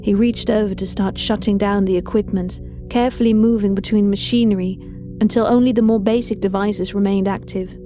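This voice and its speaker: A woman narrating a scripted horror story in a measured, dramatic storytelling manner. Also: mid-range voice, steady tone, warm tone. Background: faint music.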